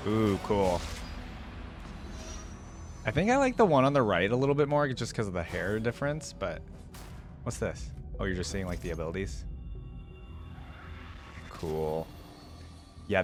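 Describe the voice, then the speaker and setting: Casual low-voiced man reacting over music. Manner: informal, rambling, thinking aloud. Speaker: host of a reaction video. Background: game music playing.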